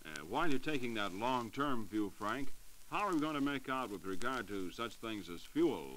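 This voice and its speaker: A man's voice: medium pitch, lively intonation, moderate pace, fairly bright tone, rough recording. An American man hosting a scripted 1940s radio interview. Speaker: radio host. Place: radio studio. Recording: hissy 1940s radio recording.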